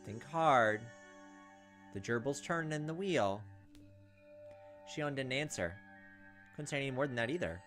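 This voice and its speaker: A man's voice: mid-range voice, animated, a little slow, long pauses, fairly bright tone, soft background music. A man reading visual novel text aloud as a let's player, dropping in casual asides. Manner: casual asides between read lines. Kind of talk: narrating and commenting over a visual novel.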